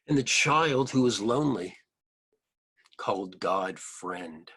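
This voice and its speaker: A man reading a picture book aloud to children, adding simple explanatory asides in an expressive manner. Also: on the low side, slow, husky.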